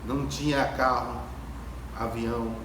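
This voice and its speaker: Distant noisy phone recording, man, slightly high voice, emphatic spiritual talk, rhetorical questions, repeated phrases. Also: fairly even intonation, a little slow. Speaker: speaker at a Spiritist gathering. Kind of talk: spiritual lecture to an audience, storytelling and reflective. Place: ecumenical hall before an audience. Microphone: distant phone camera. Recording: echoey hall, distant phone camera, clear background noise.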